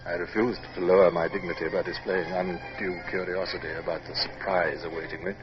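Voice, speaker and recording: Man as deep-voiced, dignified British naval captain. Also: level tone, fairly quick, close to an everyday voice. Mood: grave narration. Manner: clipped, formal, reserved. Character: proud, dignified British naval captain, narrating his own adventures. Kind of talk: old radio drama acting and narration. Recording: muffled, noisy old radio recording.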